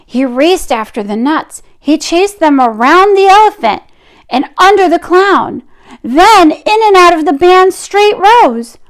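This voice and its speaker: A woman reading a picture book aloud to children, expressive, with drawn-out emphasis and chatty asides. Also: very high voice.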